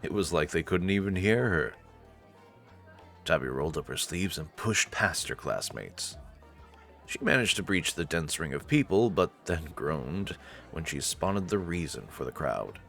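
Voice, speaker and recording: Very deep-voiced, expressive male narrator. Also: measured pace, vocal fry, faint music behind. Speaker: narrator and host reading a visual novel. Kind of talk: expressive story narration with casual asides.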